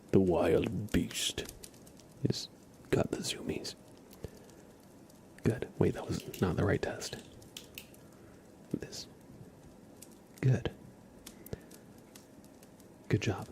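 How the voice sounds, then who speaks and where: A man doing a soft-spoken ASMR roleplay as a streamer, breathy and often whispering, giving gentle, playfully deadpan instructions. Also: on the low side.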